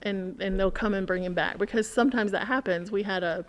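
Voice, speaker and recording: A woman's voice: mid-range voice, fairly quick. A woman telling a story in casual conversation as a podcast guest, animatedly acting out what people said.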